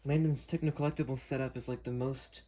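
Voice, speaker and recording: A man's voice: mid-range voice, steady tone, fast, mellow. A man hosting an unboxing video, talking casually and hesitantly as he works through a product demo. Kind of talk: casual unscripted product demo with friends on a call.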